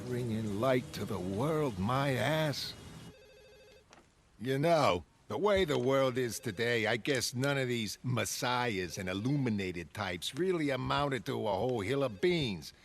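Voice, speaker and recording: A man voicing a gruff, opinionated city newsstand vendor who grumbles and philosophizes colloquially. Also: mid-range voice, animated, measured pace, a little hiss or hum. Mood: cynical grumbling. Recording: loud music underneath.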